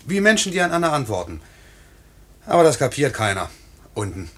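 An actor playing a swaggering but tender young newlywed pilot in a 1950s German radio play, with a teasing, dreamy way of talking. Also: male voice, medium pitch, big pitch swings, fairly quick, a bit bright. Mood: dreamy, marveling.

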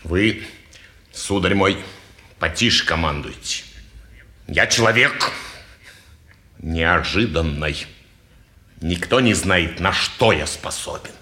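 A slow, self-important townsman of old Russian stage drama. His sharp, forceful voice swings up and down as he pronounces weighty opinions, in a roomy old theatre recording. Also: low-pitched, exaggerated voice. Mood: huffy, boastful. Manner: ponderous, self-important, sententious. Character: pompous, touchy, philosophizing petty townsman.

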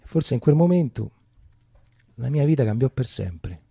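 An actor playing a Roman man who recounts his wartime boyhood in colloquial, halting theatrical storytelling with repeated phrases. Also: mid-range voice, sing-song, gravelly, warm tone, husky, no room sound, clean studio sound, heavily acted. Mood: reminiscing. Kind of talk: theatrical first-person storytelling.

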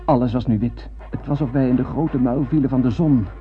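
An actor playing a haunted survivor who narrates a doomed space voyage in a radio drama, grave and literary. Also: male voice, mid-range voice, brisk, soft background music, lightly acted. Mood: awed dread. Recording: dull, muffled old radio recording.

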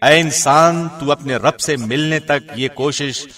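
A man's voice: a little high, a bit bright, soft background music. Solemn man reading scripture, slightly distant. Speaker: translation reader, radio host.

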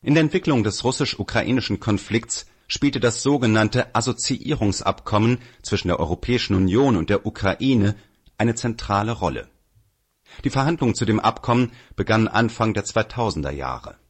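Husky man reading an article aloud. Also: lowish voice, animated, normal speed. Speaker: reader of an audio article. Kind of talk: scripted narration of a political article.

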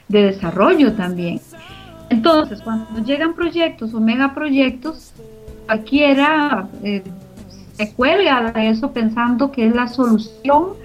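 Female guest, higher-pitched, conversational explainer; muffled lo-fi sound. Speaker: interview guest, radio drama producer. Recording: dull lo-fi audio, music behind. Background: clear background music.

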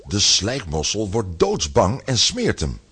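A man with a lowish, husky voice tells a children's adventure story. His scripted narration swings dramatically up and down in pitch. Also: wildly swooping pitch, takes it slowly, bright, forceful tone. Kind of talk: animated scripted narration of a children's radio play.

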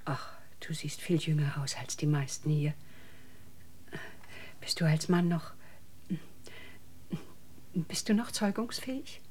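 An actress in a radio drama playing a lonely, gentle older woman, speaking softly and haltingly in a breathy half-whisper. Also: low voice, lively intonation, a little hiss or hum. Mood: tender, wistful. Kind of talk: intimate German radio play acting.

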